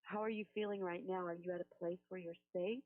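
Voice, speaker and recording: A woman's voice: fairly low pitch, steady tone, fast talker. A woman working as a helpline phone coach, talking a caller through in a soothing, reassuring way and praying with her. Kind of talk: counseling phone call and spoken prayer. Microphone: telephone. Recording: tinny phone-line recording.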